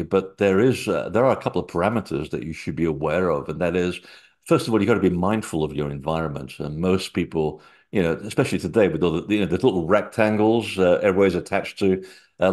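A middle-aged man from Portugal whose accent blends Portuguese, British and North American sounds. He talks in a very low voice with animated, filler-heavy storytelling, heard over a video call. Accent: mixed Portuguese, British and North American. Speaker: guest researcher.

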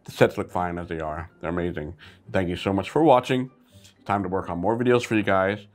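A man's voice: deep voice, fairly quick, soft background music. Laid-back chatty man reviewing brick toys. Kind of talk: casual review vlog.

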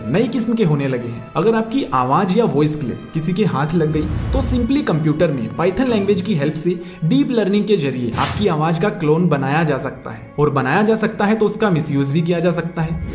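An explainer host narrates from a script with a conversational, friendly form of address, dropping in English words. The voice sits at a middle pitch. It carries a roomy echo, and music plays quietly behind it. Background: background music.

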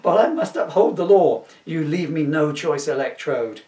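A man's voice: a little high, expressive, normal speed, warm tone, natural voice. A man voicing an earnest, declamatory young superhero who gets mildly exasperated, in a comic storybook reading. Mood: stern. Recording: roomy, distant camera microphone.

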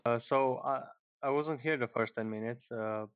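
A man's voice: medium pitch, moderate pace, no room sound. Slovenian-accented man on a phone-like line. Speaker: online group member introducing himself. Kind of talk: informal self-introduction on a group call. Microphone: internet or phone call, headset. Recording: muffled narrow phone-like call audio.

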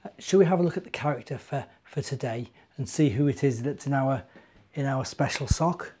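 A man's voice: medium pitch, fairly quick, darkish tone, breathy edge. A British man in his forties, a minister, chatting simply to young children as a storyteller and asking them questions. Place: living room indoors.

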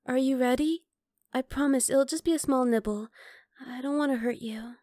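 Woman acting a high-voiced, teasing vampire girl. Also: normal speed, warm tone, no room sound, studio quality, natural voice. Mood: earnest, gentle. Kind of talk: ASMR roleplay.

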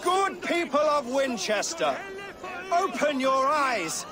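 Pompous cruel medieval reeve, very high voice swinging up and down, preachy game villain. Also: male voice, very animated, a crowd in the background, heavily acted. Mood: righteous scorn. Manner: declamatory, pompous, slow. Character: sanctimonious, cruel male reeve haranguing townsfolk.

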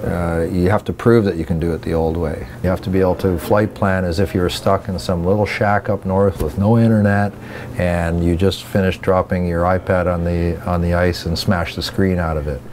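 Deep-voiced middle-aged flight instructor. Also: male voice, fairly even intonation, moderate pace, a little mellow, a little hiss or hum. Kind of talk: instructional conversation. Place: small office indoors.

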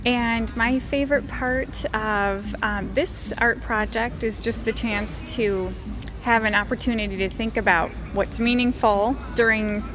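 A woman's voice: fairly high pitch, steady tone, music in the background. Thoughtful woman from Minnesota. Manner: thoughtful, pausing. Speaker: interviewee. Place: outdoors in a park. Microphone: handheld mic.

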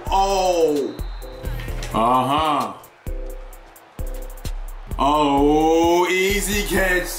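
A young man with a raspy, sore voice giving excited live commentary on a football video game and talking to his viewers. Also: mid-range voice, roomy sound. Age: young adult. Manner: excitable, hypes plays, chatty with viewers. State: hoarse, sore voice. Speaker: gaming YouTuber.

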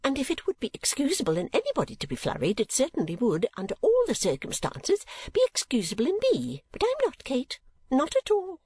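A woman voicing a vain, rambling middle-aged gentlewoman mother in a storybook reading, with an affected, garrulous manner. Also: high voice, wildly swooping pitch, brisk, slightly rough, no room sound, pitched above the performer's own voice, exaggerated voice. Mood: knowing, self-important.

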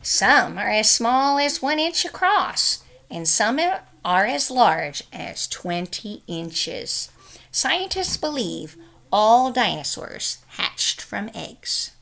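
Slow, expressive woman reading to children. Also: on the high side, creaky. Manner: careful, expressive, emphatic phrasing for young listeners. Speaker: teacher reading aloud. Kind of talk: children's picture-book read-aloud. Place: small room at home, indoors.

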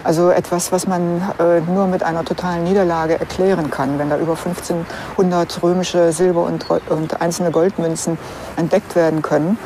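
A woman's voice: fairly low pitch, flat delivery, normal speed, dark tone, slight background noise. A woman speaking as an archaeologist, explaining in a matter-of-fact interview style.

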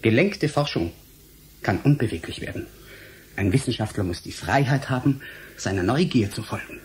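An actor playing a defiant, principled scientist and lecturer in a German radio play, speaking formally, firmly and in a measured way. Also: male voice, medium pitch, lively intonation, a little mellow, slight background noise, lightly acted. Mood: defiant, resolute.

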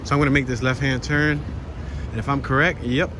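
A man giving a relaxed walking tour outdoors, pointing out sights and thinking aloud as he goes. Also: mid-range voice, brisk. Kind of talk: casual walking tour commentary. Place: city streets outdoors. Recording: street noise behind the voice. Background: traffic and street noise.

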